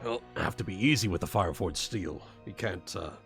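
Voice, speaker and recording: A man's voice: deep voice, fairly quick, vocal fry, fairly bright tone, soft background music. A man narrating a tabletop fantasy game as game master, improvising and searching for words.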